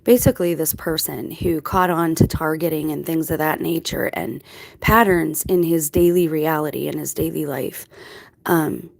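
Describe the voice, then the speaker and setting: A woman's voice: low-pitched, steady tone, moderate pace, gravelly. A woman hosting her own channel, talking casually to viewers in a reflective, digressive, explanatory way. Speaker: video channel host. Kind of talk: casual rambling monologue to viewers.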